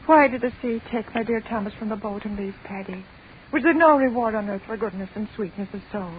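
A woman's voice: medium pitch, fairly quick, a little hiss or hum, lightly acted. An actress as a grieving old Irish mother, tinny recording. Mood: grieving, bitter. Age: older. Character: grieving, devout old widowed mother, anguished and pleading. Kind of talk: radio drama acting.

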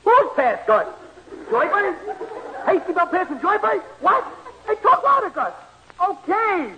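An actor playing an excitable, broke small-time horse bettor in a 1940s radio comedy, talking in slang. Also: male voice, very high-pitched, unhurried, crowd noise, heavily acted. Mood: frantic. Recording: slightly noisy 1940s radio broadcast.

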